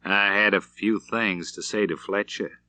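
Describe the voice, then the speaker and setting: A man reading the part of a cool, controlled gunfighter in a western audiobook, gentle and terse. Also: lowish voice, fairly even intonation, measured pace, dry recording. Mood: quiet, resolute. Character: cool, quietly menacing gunfighter of the Old West.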